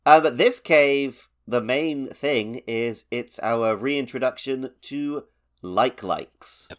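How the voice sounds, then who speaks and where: A man's voice: medium pitch, slow pace, fairly bright tone. Casual man chatting about games. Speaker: podcast co-host. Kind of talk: casual video game chat.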